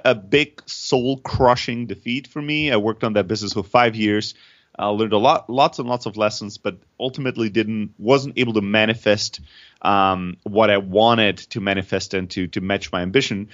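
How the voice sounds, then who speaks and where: A man in his thirties from Greece, raised in Germany, a startup founder telling his story in an interview with energy and many restarts. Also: on the low side. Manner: energetic, frequent restarts and repeated words. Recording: slightly dull lo-fi audio.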